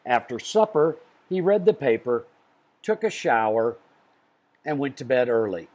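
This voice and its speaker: A man reading a children's storybook aloud in an expressive way, with dramatic pauses. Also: medium pitch. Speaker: storybook reader.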